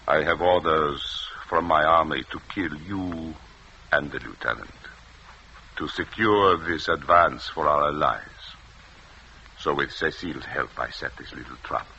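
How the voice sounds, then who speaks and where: An actor playing a cool, commanding French resistance leader and doctor in a 1940s radio drama, speaking in a measured, formal way. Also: male voice, a bass voice, sharp tone, heavily acted. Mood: grim, controlled. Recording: muffled, noisy old radio recording.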